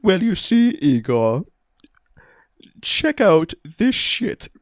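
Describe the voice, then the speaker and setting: A man voicing a smug sci-fi villain who owns the city, with a mock-polite, drawn-out, taunting delivery in an improvised comedy. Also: sits high, big pitch swings, no room sound, studio quality, pitched above the performer's own voice, heavily acted. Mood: gloating, taunting.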